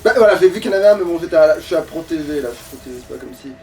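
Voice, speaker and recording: A man's voice: on the high side, lively intonation, very fast, vocal fry, warm tone. A man grumbling and swearing casually as he comments on the video game he is playing. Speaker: gaming let's play player. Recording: distant mic, game music underneath.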